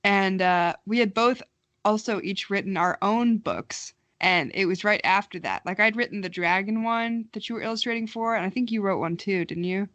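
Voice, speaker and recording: A woman's voice: medium pitch, normal speed, fairly bright tone, dry recording, muffled recording. A young woman co-hosting a podcast, chatting casually and wryly with a friend. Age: young adult. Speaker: podcast co-host. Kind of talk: casual chat between friends.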